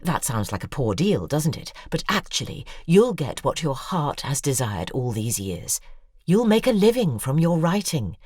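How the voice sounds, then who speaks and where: An actress playing a persuasive, bitter middle-aged woman writer in an audio drama, intense and sardonic, with a husky voice. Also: sits low, a little mellow, dry recording, clean studio sound, heavily acted.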